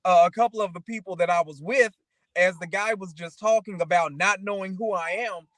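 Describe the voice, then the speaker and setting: A man's voice: high-pitched, brisk, a bit bright, dry recording. A man chatting casually and motivationally as a talk show co-host, rambling through anecdotes and often checking in with the listener.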